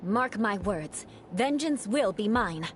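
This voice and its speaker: An actress playing a proud, grudge-bearing noblewoman knight in a video game, speaking in a cool, measured, haughty way. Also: mid-range voice, animated, slight background noise. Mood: bitter.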